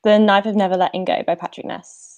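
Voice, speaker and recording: A woman takes part in a quiz as a contestant. Her voice sits at a medium pitch. She speaks casually and thinks aloud as she answers. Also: flat delivery, fast, darkish tone, dull audio.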